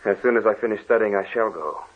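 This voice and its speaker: An actor playing a sensitive, troubled young Dutch student who speaks earnestly, in 1940s radio drama style. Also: male voice, on the low side, fast talker, slight background noise, lightly acted. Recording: dull old radio recording.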